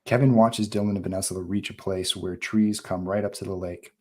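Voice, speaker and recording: A man's voice: sits low, fairly even intonation, fairly quick, a little mellow. A man narrating a screenplay at a live table read, reading terse scene directions in short phrases.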